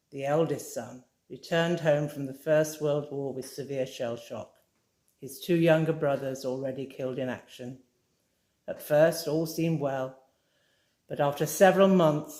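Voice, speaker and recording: An author reading her own crime novel aloud in a measured, dramatic storytelling manner. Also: sits low, mellow. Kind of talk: fireside audiobook reading. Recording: roomy echoey room.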